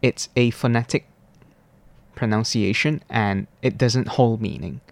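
A man, explaining things in formal, deliberate, carefully worded answers. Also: medium pitch, gravelly, dry recording. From Malaysia. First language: Cantonese. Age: 20s. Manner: formal, deliberate, precise wording. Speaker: university student guest.

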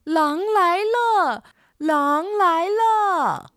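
A woman's voice: very high voice, very slow, fairly bright tone, no echo, studio quality, faint music behind, voice pushed up, heavily acted. A woman voicing a plaintive, aggrieved young shepherd boy in a children's audio drama. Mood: urgent shouting. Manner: plaintive, calling out.

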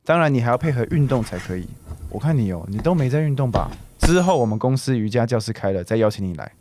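An actor playing a caring, health-conscious man around forty in a radio drama, gentle and chatty, with Taiwanese Mandarin speech. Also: mid-range voice, animated, fast talker, a little hiss or hum, natural voice.

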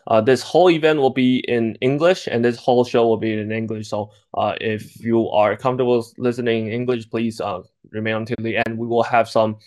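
Young man, from taiwan, podcast host, halting speech, mid-range pitch. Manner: halting, with many uh fillers. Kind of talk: podcast hosting.

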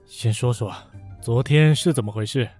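A man's voice: medium pitch, moderate pace, darkish tone, faint music behind, natural voice. A man playing a dry, teasing young CEO. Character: cool, sardonic young male CEO. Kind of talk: audio drama acting.

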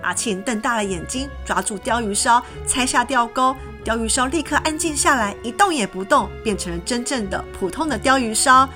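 A woman reading a children's story as narrator in an expressive, scripted storybook style. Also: fairly high pitch, moderate pace, hardly any pauses. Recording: music behind the voice.

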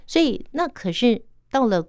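A woman's voice: a little high, big pitch swings, normal speed, darkish tone, no echo, studio quality. A woman with a Taiwanese Mandarin accent hosting a radio interview in a conversational, lightly teasing way. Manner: teasing, reacts with short interjections.

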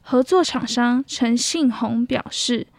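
Young taiwanese woman doing a student news report, really high voice, dry close sound. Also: takes it slowly, gravelly, dry recording. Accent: Taiwanese Mandarin. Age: young adult. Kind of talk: scripted news narration.

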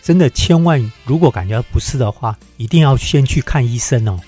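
A man's voice: mid-range voice, animated, fairly quick, warm tone, soft background music. A man with a Taiwanese Mandarin accent co-hosting a chatty radio show, offering advice and interjections in a supportive way. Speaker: radio co-host.

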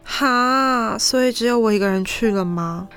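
A woman playing an excitable, chatty teenage idol fan in an audio drama. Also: a little high, moderate pace, close to an everyday voice. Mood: dismayed. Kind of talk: Chinese audio drama acting. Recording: music under the voice.

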